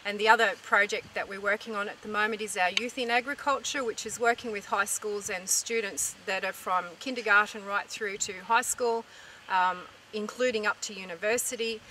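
A woman's voice: mid-range voice, sharp tone. A middle-aged woman with an Australian accent, earnestly explaining as a farming group representative in an interview. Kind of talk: informative interview talk. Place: outdoors in open dry farmland. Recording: distant mic, outdoor noise.

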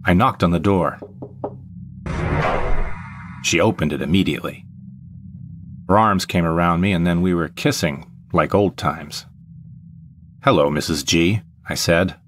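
A man's voice: low-pitched, a little slow, long pauses, a bit bright. A man playing a brooding, vengeful jilted ex-husband, narrating an audio drama in the first person with melodramatic deliberation.

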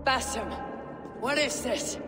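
A woman's voice: a little high, animated, slow pace, a bit bright. An actress playing a defiant, steadfast female Viking warrior in a video game, terse and grave. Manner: terse, grave, formal phrasing. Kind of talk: dramatic video game cutscene acting. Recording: cavernous reverb, music underneath.

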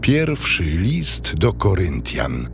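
A man's voice: deep voice, huge pitch swings, drawn-out words, over music, full character voice. A man solemnly announcing scripted titles for a scripture audio drama.

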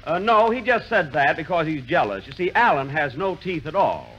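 A male comedian hosting a 1940s radio show, sounding huffy and self-important. His voice is bright and swoops widely in pitch, over a faint hiss on the old broadcast. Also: big pitch swings, moderate pace, lo-fi sound. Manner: indignant, self-important comic timing. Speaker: comedian host. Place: theater stage with live audience.

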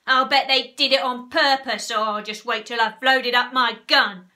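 A woman voicing a foul-tempered married man from a children's story, blustering and shouting indignantly. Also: fairly high pitch, fairly even intonation, normal speed, pitched above the performer's own voice. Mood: angry, indignant.

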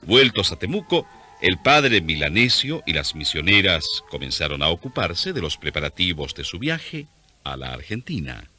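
A man narrates a radio drama from a script. His voice is low and forceful, with plenty of rise and fall. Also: big pitch swings, normal speed.

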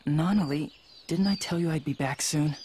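A man's voice: a little high, normal speed, a little mellow, slight background noise, lightly acted. A man playing a curt, proud and guarded teenage boy in an anime audio drama, with a clipped delivery. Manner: clipped, cold, haughty.

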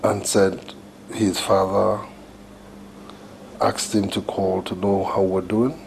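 Slow, emphatic Nigerian husband, low-voiced. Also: male voice, level tone, gravelly, a little mellow, slight background noise, heavily acted, low-quality recording. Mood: incredulous. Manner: emphatic, repetitive, deliberate. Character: agitated, dramatic husband worried for his brother. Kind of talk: Nollywood domestic film drama.

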